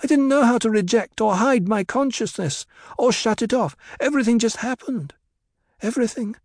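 Storybook child priestess, played by a male narrator: small girl, high, solemn, intense, formal and wise beyond her years. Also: lively intonation, normal speed, no room sound, studio quality. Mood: anguished, insistent.

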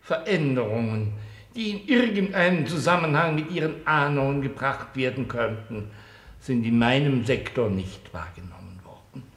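An actor playing a wordy, deferential church minister in a satirical German radio play, pompous, measured and preachy. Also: male voice, mid-range voice, animated, a bit bright, echoey room. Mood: unctuous, apologetic.

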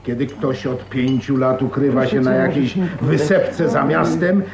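Actor as gravelly, pompous uncle. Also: male voice, mid-range voice, fairly even intonation, a little slow, over a noisy crowd, lightly acted. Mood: dogmatic. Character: pompous, dismissive uncle, a stern family patriarch. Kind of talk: 1980s Polish radio drama acting. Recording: roomy radio studio, people around.

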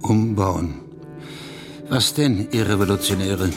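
A man's voice: lowish voice, slow. An actor playing a bitter, foreboding reactionary man in a radio drama, speaking in accusatory rhetorical questions. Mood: scornful. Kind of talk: dramatic radio play monologue. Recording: studio radio play with loud music.

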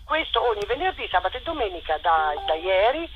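A woman giving spontaneous, halting interview answers as a theatre director over the phone. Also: medium pitch, sing-song. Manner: halting, searching for words. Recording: muffled phone line with faint noise.

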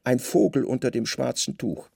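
A male storyteller for a radio play with a gravelly, creaky edge to his voice. He narrates literary prose deliberately, in short dramatic phrases, recorded very dry and close. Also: mid-range voice, a little mellow, no room sound.

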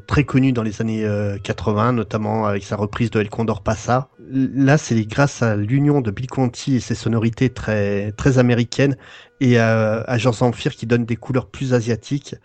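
A man's voice: medium pitch, steady tone, moderate pace, a little mellow, dry recording. Dry-sounding male podcast commentator. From family of Portuguese origin. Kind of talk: casual film discussion.

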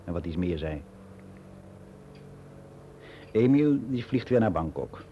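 A man's voice: low-pitched, sing-song, moderate pace, dull audio, a little hiss or hum. An actor playing a world-weary middle-aged man who rambles in a frank, confiding way in a radio play monologue. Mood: gossipy. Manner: rambling, confiding, matter-of-fact.

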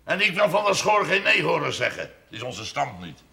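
An actor playing a proud, blunt, domineering sixty-year-old farmer in a seventies television drama. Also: male voice, fairly high pitch, big pitch swings, gravelly, sharp tone, heavily acted. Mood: gruff, dismissive. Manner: blunt, commanding, deliberate storytelling. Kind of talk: 1970s Dutch television drama acting. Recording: noisy old television soundtrack.

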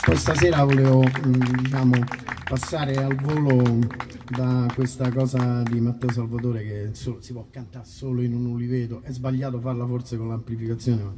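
A man, a singer-songwriter, informally introducing songs at a live concert in a rambling, halting way that often breaks off mid-thought. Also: mid-range voice, little pitch movement, recorded from a distance, crowd noise, noisy background. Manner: rambling, halting, self-interrupting. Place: outdoor festival concert in a nature park. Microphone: stage microphone through amplification.

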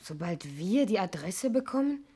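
A suspicious, insistent niece in a 1960s German radio drama. Her voice sits at a middle pitch and has a breathy huskiness. She speaks in an articulate, pointed way. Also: sing-song, normal speed, dark tone. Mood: indignant, doubting. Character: suspicious, insistent adoptive niece visiting her aunt's chalet.

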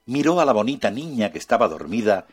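Male narrator for a children's audiobook, husky, very animated. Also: on the low side, quick pace. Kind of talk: children's storytelling.

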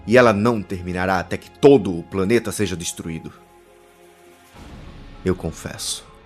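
Man playing a deep-voiced, gloating megalomaniac villain over loud music. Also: moderate pace, natural voice. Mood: gloating, scheming. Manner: gloating, theatrical. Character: gleeful megalomaniac male villain, alien Time Lord president. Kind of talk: audio drama acting.

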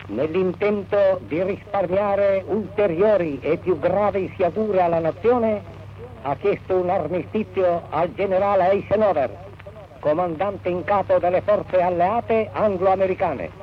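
Muffled vintage radio with light noise; male announcer, higher-pitched, official, solemn 1940s proclamation style. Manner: solemn, official, measured phrasing. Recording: dull, noisy 1940s radio archive.

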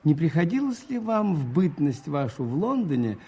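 An actor playing a gentle, modest parish priest in a 1980s Soviet radio drama, soft and husky, with a playful, unhurried manner. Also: male voice, a little high, sing-song, full character voice. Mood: mild, inquisitive.

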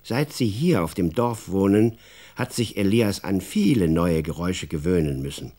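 A man playing an expressive storyteller in a children's radio drama, narrating in a deliberate, dramatic way. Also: low-pitched. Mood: hushed, suspenseful. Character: expressive male storyteller who also talks with the boy.

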